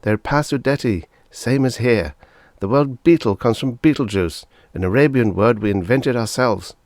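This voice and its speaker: A man's voice: mid-range voice, normal speed, darkish tone, no room sound, clean studio sound, voice pushed up, natural voice. A male audiobook reader voicing an earnest, curious young boy with a mild, inquisitive manner. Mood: mildly correcting. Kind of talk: audiobook character voice.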